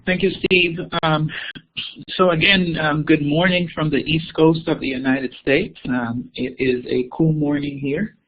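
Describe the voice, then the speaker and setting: Man from Costa Rica, online talk, frequent ums, husky, tinny sound. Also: fairly high pitch, steady tone, a little slow. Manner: hesitant, many ums and repeated words. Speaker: keynote speaker. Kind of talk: webinar presentation. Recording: tinny phone-like audio.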